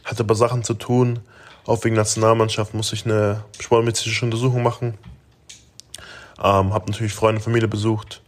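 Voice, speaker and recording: A young man from Germany in his late teens, answering interview questions in a relaxed, rambling way with many fillers and restarts. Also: fairly low pitch, brisk. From Germany (Augsburg). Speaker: interview guest, basketball player.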